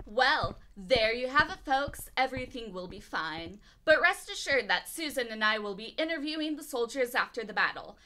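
Bright, polished female news reporter, acted. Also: fairly high pitch, animated, moderate pace, few pauses, poor audio. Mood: upbeat. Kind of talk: radio drama news report.

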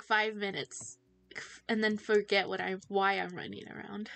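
A woman's voice: medium pitch, fairly bright tone. Giggly teen girl streaming a game. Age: teens. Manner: chatty, giggly, self-distracted, quick to laugh. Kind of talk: casual livestream chat while gaming.

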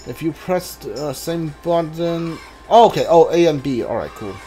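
A man giving casual video game commentary, halting and mumbling as he reads text from the screen. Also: fairly high pitch, lively intonation, mellow. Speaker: gaming streamer. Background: video game music.

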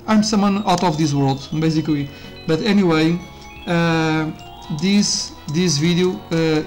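A man's voice: on the high side, darkish tone. Man rambling over gameplay. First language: Portuguese. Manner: rambling, self-interrupting, with frequent fillers and laughs. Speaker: YouTuber reviewing a game. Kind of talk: casual gameplay commentary. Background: faint game music.

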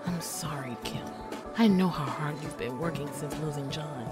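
A woman plays a practical working woman turned thief in an audio drama. Her voice is fairly low and steady, with music clearly behind it. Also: moderate pace, close to an everyday voice. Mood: caring, resigned.

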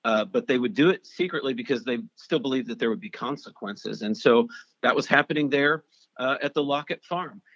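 An earnest man tells an emotional personal story in a medium voice, often restarting and repeating words. The recording is close and dry, with muffled, dull highs. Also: fairly quick, a bit bright, no room sound. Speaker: storyteller guest. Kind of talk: personal testimony interview.

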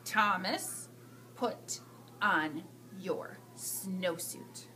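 A woman's voice: lowish voice, very slow, sharp tone, slight background noise, pitched below the performer's own voice, heavily acted. A woman voicing a stern, self-important male school principal in a children's storybook, emphatic and commanding.

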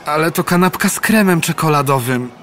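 A man's voice: fairly high pitch, fairly quick, background noise, lightly acted. An actor voicing an earnest, polite young male piglet in a cartoon, who also narrates. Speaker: narrator and lead figure. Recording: studio cartoon audio with music behind.

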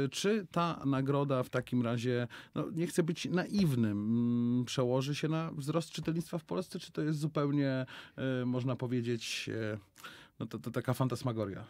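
A man's voice: medium pitch, a little slow, darkish tone, no echo. A man in his thirties hosting a radio studio interview, asking polite, leading questions with some fillers. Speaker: radio host. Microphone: headphones, desk mic.

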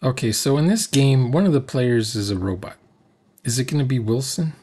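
A man's voice: mid-range voice, lively intonation, normal speed, warm tone, husky. A man giving casual running commentary as a reviewer of a game session. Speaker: reaction commentator.

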